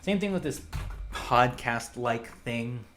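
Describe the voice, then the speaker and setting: A young man hosting a solo podcast, rambling in a casual, hesitant way and thinking aloud with many pauses and restarts. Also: medium pitch, animated, a bit bright, a little hiss or hum.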